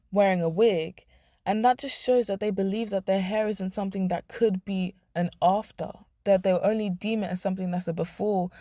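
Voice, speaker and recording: A young woman vlogger, hoarse, giving blunt, emphatic commentary to the camera. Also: medium pitch, dark tone, no echo, studio quality. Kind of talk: opinionated talking-head commentary.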